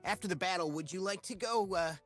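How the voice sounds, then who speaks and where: A small male game creature who is shy and smitten. His voice is fairly high and dry, with little room sound. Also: fast talker, fairly bright tone, no echo, soft background music. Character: smitten, shy male yordle mech pilot. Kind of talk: video game character voice lines.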